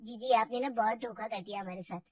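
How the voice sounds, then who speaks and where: A woman's voice: a little high, quick pace, breathy edge, dry recording, far from the mic, highs cut off, voice pushed down. A woman voicing a teasing, bargain-loving older aunt figure in a family drama story, exclaiming and mock-complaining.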